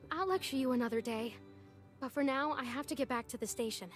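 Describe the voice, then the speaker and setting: A woman's voice: sits high, normal speed, close to an everyday voice. A woman playing a caring but strict park ranger friend in a visual novel game, with an affectionate, motherly scolding manner. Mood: teasing. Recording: music behind the voice.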